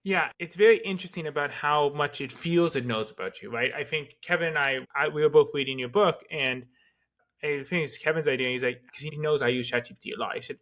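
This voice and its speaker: Casual man, slightly higher voice. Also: brisk. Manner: casual, animated storytelling with "like". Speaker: podcast co-host. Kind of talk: casual podcast chat.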